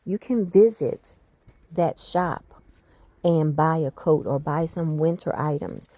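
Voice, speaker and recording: A woman's voice: low-pitched, slow, warm tone, dry recording. A woman narrating her travel vlog in a chatty, explanatory way, giving practical tips to viewers. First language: not Icelandic. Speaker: travel vlogger.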